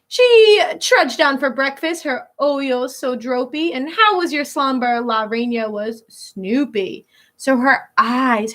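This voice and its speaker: Female schoolteacher for picture-book read-aloud; bright tone, unhurried delivery, teacherly explanatory asides. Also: high voice. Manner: teacherly, stops to translate and explain words simply. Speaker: elementary school teacher reading aloud to pupils.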